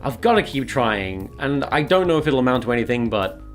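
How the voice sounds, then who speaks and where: A man's voice: medium pitch, sing-song, fairly quick, faint music behind. A man talking to his audience in a confessional, partly scripted vlog, with a self-deprecating way of speaking. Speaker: video creator documenting his weight loss.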